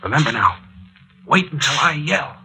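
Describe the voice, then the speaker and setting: An actor with an American accent playing a fearless, commanding adventurer captain in a terse, urgent 1940s radio drama style. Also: male voice, on the high side, very animated, normal speed, sharp tone, soft background music, full character voice. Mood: hushed, commanding. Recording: vintage 1940s radio recording.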